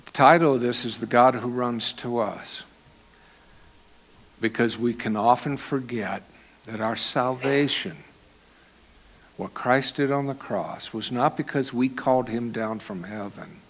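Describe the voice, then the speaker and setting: A man's voice: mid-range voice, measured pace. A man preaching a sermon into a microphone, in a conversational teaching manner with loose asides. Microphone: microphone with volume control.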